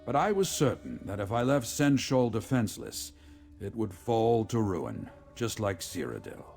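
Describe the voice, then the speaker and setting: A man playing a remorseful, resolute middle-aged general in a video game, with a gravelly voice and formal, measured military speech. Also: lowish voice, big pitch swings, a little mellow, faint music behind. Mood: haunted, reflective. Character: remorseful, resolute veteran male general, father of a grown daughter. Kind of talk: scripted video game character dialogue.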